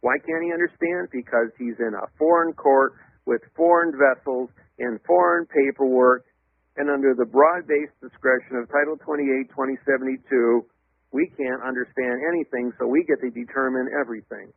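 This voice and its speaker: A man calling in as a radio guest, lecturing emphatically in a loud voice and spelling out words letter by letter. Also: mid-range voice, steady tone, normal speed, creaky, no room sound. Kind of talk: radio call-in interview. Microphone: telephone. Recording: tinny phone line.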